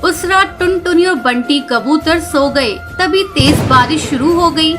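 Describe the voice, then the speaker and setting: A woman narrates a children's cartoon tale from a script. Her voice is noticeably high. Music plays clearly behind her as she tells the story. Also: a little slow. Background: background music.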